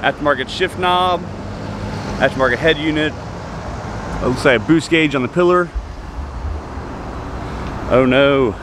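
A man's voice: a little high, a little slow, long pauses. A man in his thirties giving casual, enthusiastic commentary as a car vlogger, talking to his viewers. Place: outdoors by roadside car lots and shops. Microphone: handheld camera mic. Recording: outdoor camera audio with street noise.

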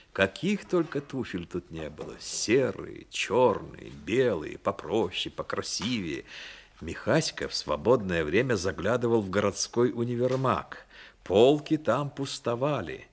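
A man's voice: mid-range voice, slight background noise. A man narrating a radio play as a literary storyteller, with dramatic delivery.

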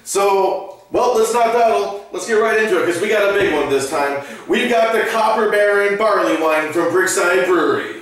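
A man's voice: high voice, moderate pace, fairly bright tone. An enthusiastic man in his thirties hosts a casual beer tasting and chats eagerly about what's in the glass. He sits well back from the mic in a small room with a strong echo. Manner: enthusiastic, talkative. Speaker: beer review host. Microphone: far camera. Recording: echoey room, distant mic.

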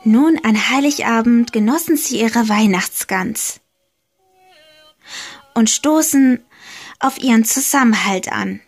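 Slow, high-voiced woman telling a fairy tale. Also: husky. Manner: slow, deliberate, gently emphatic. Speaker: storyteller. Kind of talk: slow storybook narration.

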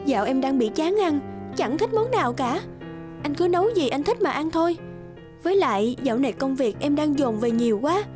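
A discontented young career wife in a cartoon drama. Her voice is high and she talks in a curt, complaining way over background music. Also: expressive, fairly quick. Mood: irritated. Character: discontented, ambitious young wife and office manager.

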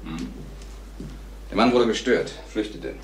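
A man's voice: fairly low pitch, animated, slow pace. An actor playing a polite but suspicious younger businessman, clipped and probing, in radio crime drama dialogue. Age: adult, younger than the salesman. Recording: echoey room with faint noise.

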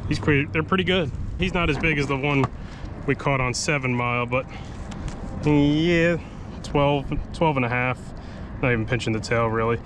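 A man talks casually to camera while fishing from a kayak on the open sea, wondering aloud what he has caught. His voice sits in a middle range, picked up by a mounted action camera. Wind and water noise stay clearly audible behind him. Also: medium pitch, normal speed. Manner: relaxed, thinking aloud, unsure about the catch. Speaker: fishing vlogger.

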